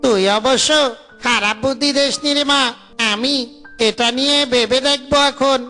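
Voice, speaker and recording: A woman's voice: high voice, normal speed, sharp tone, soft background music. A woman voicing a jokey, boastful fox father in a cartoon, with a playful, exaggerated delivery. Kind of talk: Bengali cartoon voice acting.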